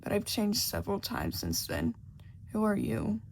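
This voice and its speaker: Bewildered man lost in a fantasy land, read by a woman. Polite, hesitant, plain, slightly gravelly, faint noise. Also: medium pitch, wildly swooping pitch, unhurried, darkish tone. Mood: polite, unsure. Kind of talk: storybook character voice.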